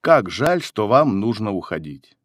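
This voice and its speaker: Slow, deliberate male phrasebook reader. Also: lowish voice, sing-song, no room sound, clean studio sound. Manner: deliberate, carefully enunciated with pauses between phrases. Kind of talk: slow, clear reading of language-course phrases.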